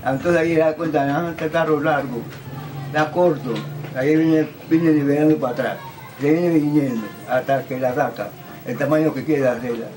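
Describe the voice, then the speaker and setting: Brief: practical craftsman, halting speech, recorded from afar. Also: a little high, steady tone, darkish tone, distant mic, slight background noise, poor audio. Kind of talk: documentary interview.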